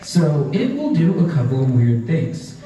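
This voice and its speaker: A man's voice: a little high, sing-song, unhurried, warm tone, a little hiss or hum. Upbeat male science educator in a hall. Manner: upbeat, explanatory, addresses kids in the audience. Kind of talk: live science demonstration for a crowd. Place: large indoor event hall. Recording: echoey hall, far from the mic, crowd behind. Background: crowd chatter.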